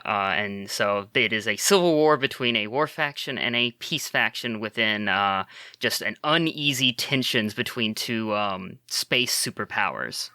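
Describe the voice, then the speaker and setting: Man with a bright, forward voice. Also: fairly low pitch, expressive, measured pace, clean tone, no echo, clean studio sound. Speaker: player and guest. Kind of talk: collaborative planning for a tabletop game.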